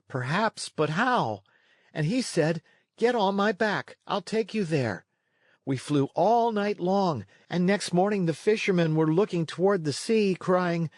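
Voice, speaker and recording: A man's voice: high voice, dry recording, studio quality. A man voicing an eager, earnest and plucky wooden puppet boy in a storybook read-along. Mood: earnest, excited.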